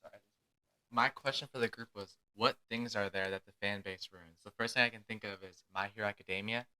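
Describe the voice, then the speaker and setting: A man's voice: fairly low pitch, steady tone, a little fast, bright, forceful tone, smooth. A young man in a casual podcast chat, sick, with a sleepy, laid-back voice. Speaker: podcast co-host.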